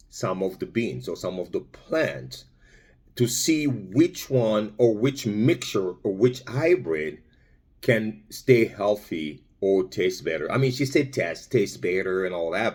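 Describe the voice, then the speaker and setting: A man's voice: lowish voice, a little mellow. A man from Congo giving a rambling, casual vlog commentary with lots of fillers and restarts. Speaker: vlogger.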